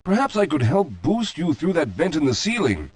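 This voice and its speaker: An actor playing a weary, guilt-ridden scientist in a video game, speaking in a formal, articulate, explanatory way. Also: male voice, fairly high pitch, wildly swooping pitch, normal speed. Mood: regretful, explanatory. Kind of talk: scripted video game character dialogue. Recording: echoey hall with long reverb.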